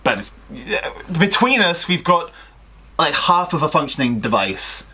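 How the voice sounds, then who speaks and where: A young man chatting casually and reading stories aloud in an animated, jokey way. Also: a little high, unhurried, slightly rough. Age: young adult. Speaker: co-host of a fan fiction video. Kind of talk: casual chat and story read-aloud. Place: small indoor room at home.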